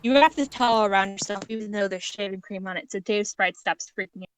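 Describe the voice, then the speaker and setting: A woman's voice: medium pitch, quick pace, a little hiss or hum, low-quality recording. Casting: female amateur story narrator, middle register, informal read-aloud and chat, recorded over an internet call. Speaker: narrator, stream reader. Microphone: online voice call.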